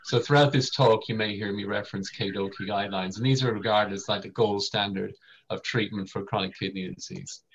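Low-voiced Irish man lecturing, dull sound. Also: fairly even intonation, brisk, gravelly, slightly rough. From Ireland. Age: middle-aged. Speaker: vascular surgeon giving a lecture. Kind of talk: slide lecture, semi-formal explanation. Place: indoor video call. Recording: dull lo-fi video call audio.

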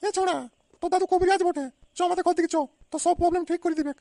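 A performer voicing a brash, bossy old village grandmother in an amateur comedy cartoon, with a pitched-up voice. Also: little pitch movement, very fast. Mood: coaxing. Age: older. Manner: crude, boastful, insistent. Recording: faint background noise.

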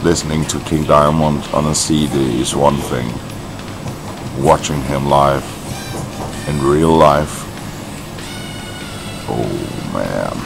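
A man in his thirties chatting casually in a shop, halting with many fillers, and slightly hoarse from a cough. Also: very deep voice, over loud music. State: has a cough. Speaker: vlogger. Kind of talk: casual chat. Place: indoors in a large shop.

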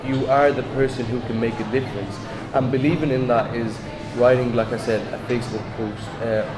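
A man's voice: mid-range voice, steady tone, brisk, music in the background. A man speaking directly to a room of students. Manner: direct, addressing a crowd. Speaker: guest speaker. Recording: echoey room from far off.

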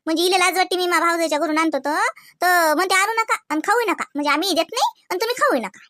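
A woman's voice: extremely high pitch, fast, bright, forceful tone, no room sound, clean studio sound. A woman voicing a proud, grumbling elderly village mother-in-law in a cartoon, complaining colloquially with a Vidarbha Marathi accent. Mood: indignant. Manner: colloquial, complaining, rhetorical questions. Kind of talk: cartoon comedy dialogue.

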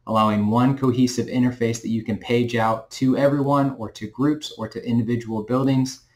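A man's voice: medium pitch, steady tone, normal speed. A middle-aged man presenting a product as a company representative, in a plain-spoken, conversational way. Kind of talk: informal product explainer to camera. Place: small indoor room or office.

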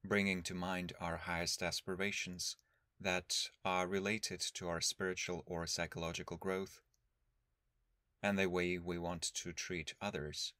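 A man leading a guided meditation as a teacher, speaking in a calm, measured, instructional way with long pauses. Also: sits low, dry recording, clean studio sound.

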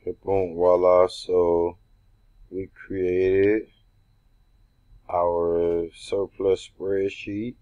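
A man's voice: sits low, little pitch movement, drawn-out words, long pauses, mellow, lo-fi sound. A man talking through a screen-recorded tutorial for his assistants, loose and conversational, thinking aloud with restarts. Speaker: real estate investor training assistants.

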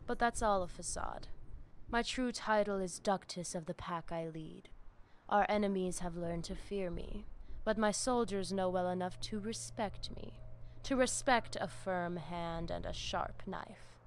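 A woman's voice: lowish voice, measured pace, a little hiss or hum. A woman playing a stern vampire gang boss in a video game, firm and menacing. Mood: proud, commanding. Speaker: vampire gang leader character. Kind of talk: scripted video game dialogue.

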